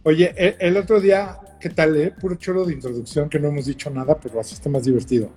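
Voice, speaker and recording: A man's voice: fairly high pitch, fairly even intonation, fast, darkish tone, soft background music. A man with a Mexican accent hosting a casual chat show, teasing and joking with slang. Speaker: host.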